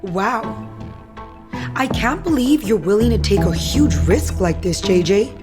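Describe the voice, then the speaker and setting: A woman's voice: mid-range voice, expressive, unhurried, over music, close to an everyday voice. An actress playing a manipulative, needling woman in an audio soap opera, coaxing and insistent as she speaks. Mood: pressuring.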